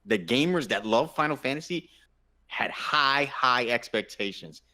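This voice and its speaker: A man's voice: mid-range voice, expressive, measured pace, pushed and bright. A man on a podcast panel casually debating in an insistent way, often restarting his sentences as he argues. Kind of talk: casual group debate about movies and games.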